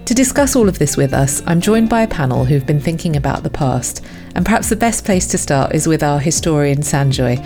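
A woman's voice: sits low, lively intonation, brisk, mellow, soft background music. A woman hosting a podcast panel, introducing the discussion and inviting guests to speak. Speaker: podcast host, clinical editor.

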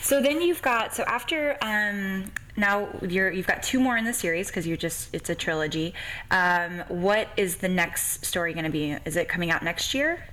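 A woman's voice: mid-range voice, expressive, normal speed, a bit bright, slight background noise, rough recording. A woman hosting a podcast, chatting casually with gushing enthusiasm. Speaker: podcast host. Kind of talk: casual interview chat.

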